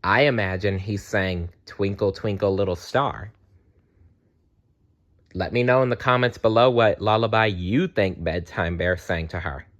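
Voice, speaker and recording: A man narrating a children's story aloud in an expressive storytelling style. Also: on the low side, a little slow, muffled recording.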